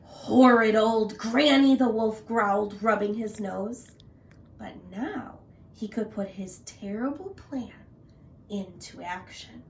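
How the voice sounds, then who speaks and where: A woman teacher reading a children's story aloud in an animated narrating style. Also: medium pitch, slow pace, distant mic, a little hiss or hum.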